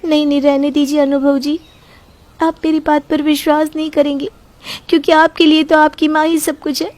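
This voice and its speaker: A woman voicing a scheming, manipulative young wife in an animated story, talking in a theatrical, whining way. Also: high voice, normal speed, close to an everyday voice. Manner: theatrical, whining, fake tearful. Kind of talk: cartoon storybook voice acting.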